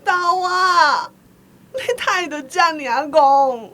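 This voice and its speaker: Anxious, high-voiced Taiwanese mother. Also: very slow, bright, forceful tone, exaggerated voice. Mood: tearful. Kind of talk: radio drama acting.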